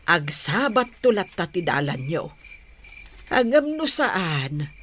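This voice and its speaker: A woman's voice: lowish voice, sing-song, slow, fairly bright tone, full character voice. An actress with an Ilocano Filipino accent playing a caring, patient middle-aged mother giving counsel in a radio drama. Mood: gentle, advising. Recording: faintly noisy track.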